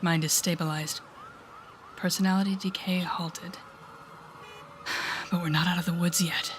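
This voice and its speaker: A woman playing a telepathic supervillain in an audio roleplay, a bitter but protective mentor with a sardonic, deliberate manner. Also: lowish voice, flat delivery, a little hiss or hum, close to an everyday voice. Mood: soothing, focused. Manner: sardonic, deliberate, shifting to soothing whispers. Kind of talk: dramatic audio roleplay acting.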